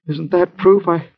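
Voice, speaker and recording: An American actor playing an anxious, injured man in bed in an old-time radio drama, halting, stammering and urgently pleading. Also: fairly high pitch, husky, exaggerated voice. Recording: muffled vintage radio broadcast recording.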